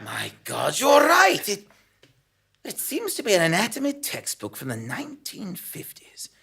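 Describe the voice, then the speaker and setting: A man playing an excitable, blundering investigative journalist who narrates his own comic audio drama with theatrical exclamations. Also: high-pitched, measured pace, creaky, slightly rough, full character voice. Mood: urgent.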